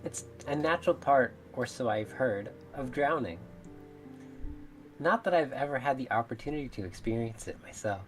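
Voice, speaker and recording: A woman voicing a mermaid in a visual novel, measured, formal and coolly reasonable, politely menacing. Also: very deep voice, lively intonation, poor audio. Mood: serenely menacing. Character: calm, menacing female mermaid, politely murderous. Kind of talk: visual novel character read-aloud. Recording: music behind the voice.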